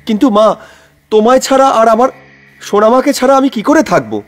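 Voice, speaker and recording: Earnest family man acted by a man, animated. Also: very high voice, a little fast, soft background music. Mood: pleading. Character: earnest middle-aged family man, dutiful son.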